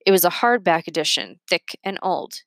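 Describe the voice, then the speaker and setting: A woman narrating a children's book aloud in an expressive read-aloud style. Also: on the low side, normal speed, no room sound.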